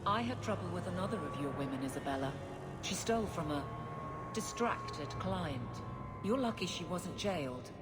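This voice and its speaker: A woman's voice: lowish voice, expressive, normal speed, over loud music, lightly acted. A woman playing a stern, no-nonsense guard officer in a video game, blunt and dryly disapproving. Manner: blunt, dry.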